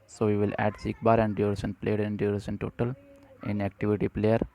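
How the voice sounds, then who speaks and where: Low, mellow man giving a halting tutorial. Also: completely flat delivery. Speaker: tutorial presenter. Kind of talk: step-by-step software tutorial narration.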